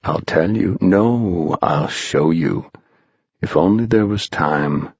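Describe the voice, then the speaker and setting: A man voicing an eerie hooded spectral figure who speaks slowly and solemnly. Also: low-pitched, creaky, breathy edge, dry recording, lo-fi sound, full character voice. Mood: ominous. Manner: slow, hushed, solemn.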